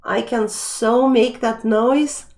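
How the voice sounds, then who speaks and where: A woman's voice: fairly high pitch, steady tone, slow, creaky, darkish tone. A young woman hosting a live stream quiz, chatting casually as she reads out questions and viewer names. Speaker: live stream trivia host.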